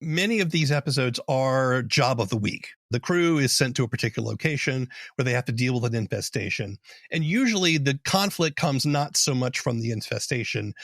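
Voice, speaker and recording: A man's voice: medium pitch, dry recording. A man hosting an interview podcast, speaking in an articulate, analytical way as he sums up and comments. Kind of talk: conversational interview with prepared summaries.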